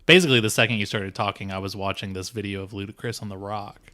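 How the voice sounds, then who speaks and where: A man's voice: on the low side, fairly even intonation, quick pace, sharp tone. A man producing a podcast, chatting in a distracted, offhand way with lots of "like". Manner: distracted, offhand, says "like" a lot. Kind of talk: casual chat.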